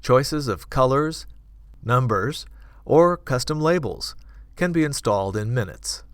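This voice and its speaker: A man reading scripted corporate product narration in a measured, clearly enunciated manner. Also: medium pitch, expressive, dry recording, studio quality. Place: dry studio voice-over recording.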